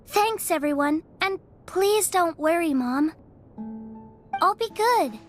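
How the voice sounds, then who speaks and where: A woman voicing a sickly, well-mannered young girl in a game cutscene, speaking softly. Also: extremely high pitch, lively intonation, slow, heavily acted. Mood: sweet. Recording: game audio with background music.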